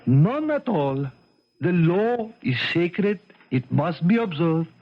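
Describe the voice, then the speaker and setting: Imperious prince for radio drama. High voice, measured slow delivery, cold courtesy. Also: male voice, sing-song, full character voice. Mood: coldly formal. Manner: formal, deliberate, imperious. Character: calm, lordly foreign prince, coldly formal. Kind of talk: 1970s radio drama acting. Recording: muffled old radio recording.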